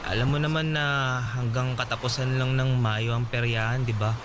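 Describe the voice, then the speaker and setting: A man's voice: mid-range voice, fairly even intonation, normal speed, background noise, close to an everyday voice. An actor playing an earnest, smitten young man in a radio drama. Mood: hesitant, earnest. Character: smitten young fairground suitor, earnest and friendly. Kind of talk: Filipino radio drama acting.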